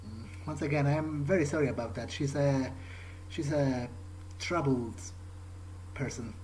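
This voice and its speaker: A man improvising in character during a game playthrough, speaking in a halting way and repeating words. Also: medium pitch, a little mellow. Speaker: gamer roleplaying his character. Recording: faint background noise.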